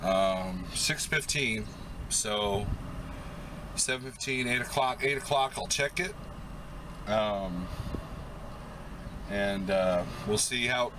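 A man's voice: fairly low pitch, slow, long pauses. A middle-aged man who drives a truck, talking casually and loosely with lots of fillers while cooking in his cab. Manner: relaxed, rambling with fillers. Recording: loud vehicle noise behind.

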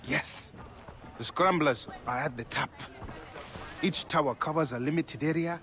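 An actor playing a solemn, assured male island mentor in a video game, with measured, formal, instructive speech. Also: on the high side, pushed and bright, faint music behind. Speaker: guide character.

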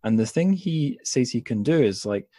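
A man's voice: medium pitch, animated, normal speed, warm tone, no room sound. A man hosting a podcast, discussing literature casually in a rambling, tentative way as he thinks aloud. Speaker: podcast host.

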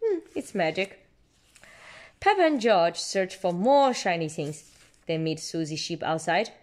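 A woman reading a children's storybook aloud in an expressive, encouraging way, as a parent does to a child. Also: fairly low pitch, moderate pace. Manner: expressive, encouraging, simple child-directed speech. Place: indoors at home, seated with book.

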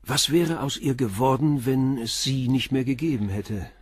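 Calm police inspector guy played by a male actor, short probing questions, slightly husky, crime radio play. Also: medium pitch, normal speed, dry recording, studio quality, lightly acted. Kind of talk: radio crime drama dialogue.

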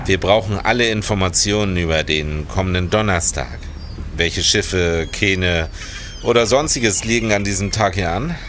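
An actor playing a determined, brisk security policeman in a period audio drama. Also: sits low, a bit bright, a little hiss or hum. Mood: official.

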